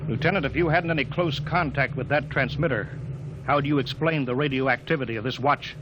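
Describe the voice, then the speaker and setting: A man's voice: mid-range voice, fairly quick, lightly acted. A man playing a stern spaceship captain. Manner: clipped orders, turning to urgent shouting. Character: stern male spaceship captain, commanding and blunt. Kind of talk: 1950s radio drama acting. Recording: very noisy old radio broadcast.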